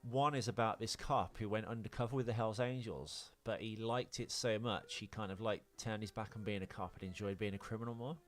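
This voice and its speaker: A British man with a fairly low voice co-hosts a casual film podcast. He talks in rambling, speculative explanations, thinking ideas through as he goes. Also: brisk, hardly any pauses, fairly bright tone. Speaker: podcast co-host.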